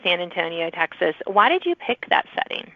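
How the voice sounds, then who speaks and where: A woman's voice: lowish voice, very animated, normal speed, dry recording. A woman hosting a podcast, interviewing a guest in a conversational style, with narrow phone-like audio. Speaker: podcast host.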